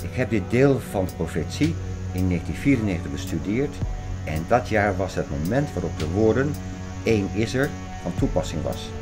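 An actor playing an earnest, conspiracy-minded scholar in an audio drama, explaining things in an insistent, lecturing way. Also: male voice, lowish voice. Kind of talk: scripted audio drama dialogue. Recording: music under the voice.

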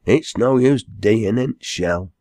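A man's voice: lowish voice, slow, dark tone, no room sound, pitched above the performer's own voice. A man voicing a blunt, hearty rural quarryman in a storybook, with emphatic Lancashire dialect. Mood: blunt, wry.

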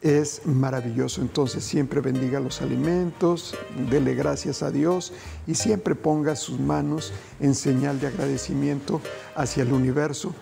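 A man's voice: mid-range voice, fairly even intonation, a little slow, creaky, music in the background. A middle-aged man hosting a TV cooking show, giving folksy advice to viewers in a studio kitchen. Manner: folksy, addresses viewers as family, gentle advice. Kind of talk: cooking demonstration.

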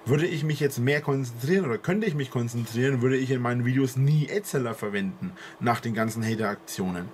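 A man with a southern German dialect explaining himself earnestly to camera, halting with fillers. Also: mid-range voice, slight background noise. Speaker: video creator explaining himself. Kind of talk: monologue to camera.

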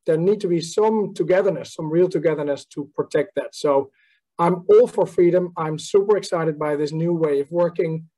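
Man with a mellow voice on a video call. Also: on the high side, normal speed, low-quality recording. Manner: thoughtful, restarts often with repeated words. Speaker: panelist, company managing director. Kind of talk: online panel discussion. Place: home room on video call.

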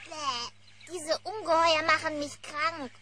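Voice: sits high, fairly even intonation, slow, full character voice. A performer voicing an eager, naive little boy bear cub with a childlike manner in a children's cartoon audio play. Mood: worried. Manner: childlike, bright-eyed.

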